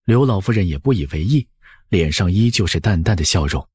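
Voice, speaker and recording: Husky, animated male audiobook narrator. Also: deep voice, fairly quick, no echo, clean studio sound. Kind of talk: expressive scripted storytelling.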